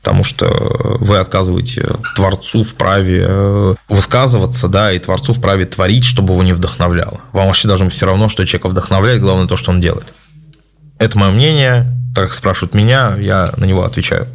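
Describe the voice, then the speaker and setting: A man hosting a casual question-and-answer session, giving his opinions in a measured way with many hesitant filler sounds. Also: low voice, steady tone, gravelly. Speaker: host answering viewer questions. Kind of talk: casual spoken Q&A.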